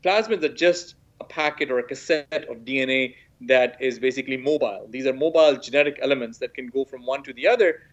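Pakistani-born man on a muffled video call. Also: mid-range voice. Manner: measured, hedging with "sort of", frequent restarts. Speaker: guest expert, professor. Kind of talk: explanatory interview talk. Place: small room at home, indoors. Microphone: laptop webcam video call. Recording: dull lo-fi video call audio.

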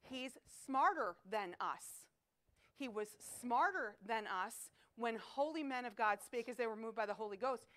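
A middle-aged woman preaching a chapel sermon to students in an auditorium, emphatic and animated, asking rhetorical questions, picked up by a distant camera. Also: a little high, unhurried. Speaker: chapel speaker. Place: school chapel auditorium with stage.

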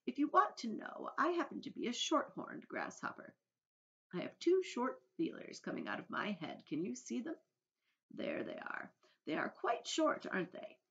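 A woman's voice: fairly high pitch, wildly swooping pitch, moderate pace, a bit bright, pitched above the performer's own voice, heavily acted. Storybook old male grasshopper, performed by a woman: avuncular, courtly, proud, swinging melody, dull recording. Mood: delighted, proud. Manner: genteel, theatrical, avuncular.

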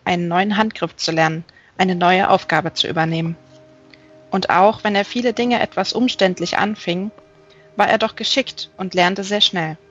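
A woman reading literary narration as an audiobook, measured and careful. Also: mid-range voice, husky, muffled recording. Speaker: audiobook reader. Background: music.

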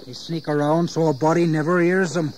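A man's voice: on the high side, fairly even intonation, a little fast, a little mellow, a little hiss or hum, close to an everyday voice. An actor playing a bitter English working-class servant and father, rustic and deferential yet grumbling, in an audio history drama. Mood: resentful. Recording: 1980s audio drama, insect ambience.

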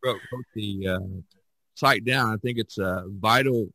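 Guy chatting on a podcast, bright punchy voice, stumbles and repeats himself, pretty dry recording. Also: lowish voice, dry recording. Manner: halting, with repeated words and frequent "you know". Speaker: podcast host. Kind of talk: casual discussion of art practice.

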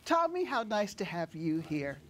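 An older woman hosts a conversational interview with an effusive, welcoming manner. Her mid-pitched voice swings expressively, recorded in a studio with faint background noise. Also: big pitch swings, normal speed. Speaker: talk show host. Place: TV studio stage, seated at a desk.

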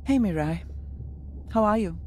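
A woman playing a reserved scientist in a video game, speaking in a measured, understated way. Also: medium pitch, expressive, loud music behind the voice, lightly acted. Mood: reserved, friendly. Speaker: research colleague. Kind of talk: naturalistic video game voice acting.

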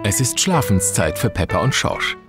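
A man narrates a children's audio play in scripted prose. His voice has lively intonation, with prominent music playing underneath. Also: fairly low pitch, moderate pace.

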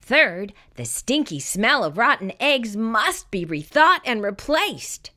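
A woman reading a children's picture book aloud in expressive, scripted narration. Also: on the high side, takes it slowly.

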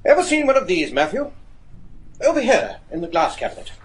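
Gentleman in echoing reverb. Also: sits high, wildly swooping pitch. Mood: grave, intimate. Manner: measured, courteous, theatrical. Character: grave, resolute English gentleman, the judge's confidant. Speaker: actor in a radio play. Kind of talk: gothic horror radio drama acting.

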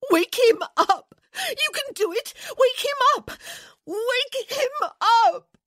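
A woman voicing a refined young aristocratic wife in an audiobook, playful and deeply emotional. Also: expressive, moderate pace, darkish tone, dry recording, clean studio sound, close to an everyday voice. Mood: screaming, desperate. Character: young aristocratic wife, playful then desperately grief-stricken. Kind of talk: dramatic audiobook character acting.

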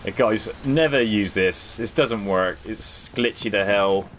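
A young man talks easily over his gameplay, answering chat in a relaxed way. His voice sits a little low. Quiet battle sounds hum beneath him. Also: a little slow. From United Kingdom. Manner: chatty, laid-back, reads and answers chat. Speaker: gaming livestreamer. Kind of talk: casual live commentary over gameplay.

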